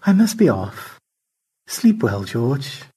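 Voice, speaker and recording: A man voicing a gentle, polite female computer avatar in an audio story. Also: mid-range voice, big pitch swings, takes it slowly, breathy edge, pitched above the performer's own voice, exaggerated voice.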